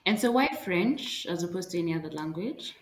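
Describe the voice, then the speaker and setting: A woman's voice: fairly low pitch, expressive, fairly quick, husky. A woman co-hosting a podcast, chiming in with short, encouraging interjections and questions. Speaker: podcast co-host.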